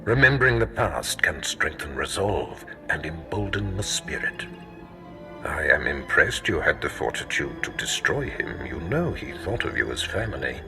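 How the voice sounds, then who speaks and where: An actor playing a haughty, menacing Sith master in a video game, measured and commanding, as if lecturing a pupil. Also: male voice, extremely low pitch, very animated, gravelly, music in the background, full character voice. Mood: cold, mocking. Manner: measured, imperious, lecturing delivery. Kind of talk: video game cutscene voice acting.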